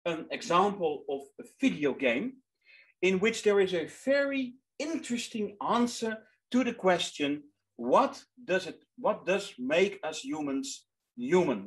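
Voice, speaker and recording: A man's voice: on the high side, fairly bright tone. Dutch man lecturing in careful English. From Netherlands. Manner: earnest, searching for words, repeating himself. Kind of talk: online lecture. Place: small room indoors.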